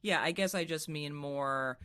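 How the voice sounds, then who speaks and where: A man's voice: fairly high pitch, a little slow, sharp tone, clear, resonant tone. A man working as an interviewer, asking questions in a conversational style.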